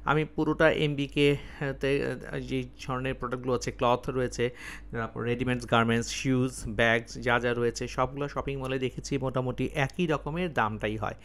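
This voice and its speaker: A man working as a travel vlogger, talking casually to viewers in a halting way, thinking aloud as he explains. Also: mid-range voice, steady tone, fairly bright tone, clean tone.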